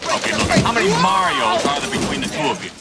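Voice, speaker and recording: An actor playing an exasperated man questioning people in film dialogue, in a movie clip with loud background sound. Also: on the high side, huge pitch swings, fast.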